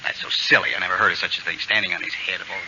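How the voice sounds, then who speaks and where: A man's voice: sits low, steady tone, very fast, loud music behind the voice. A man playing an exasperated suburban husband and father, blustery and stammering, in a 1940s radio comedy. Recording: dull, hissy old radio broadcast.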